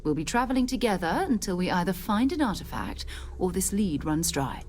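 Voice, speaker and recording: An actress playing a seasoned, principled space explorer captain in a video game, speaking in a measured, earnest, authoritative way. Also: female voice, on the low side, sing-song, darkish tone, slight background noise. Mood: reassuring, businesslike. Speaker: expedition leader. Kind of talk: video game character dialogue.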